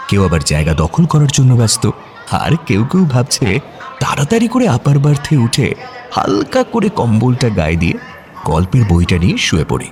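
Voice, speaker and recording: A man narrating a scripted romantic audio story in a reflective, conversational and expressive way. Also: mid-range voice, moderate pace.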